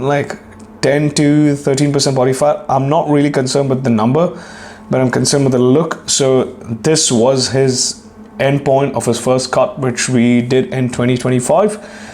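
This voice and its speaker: A hoarse young man hosting a solo fitness podcast, rambling and swearing casually while clearing his throat. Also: medium pitch, steady tone, measured pace, a little mellow. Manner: profane, rambling, self-deprecating. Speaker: podcast host and fitness coach.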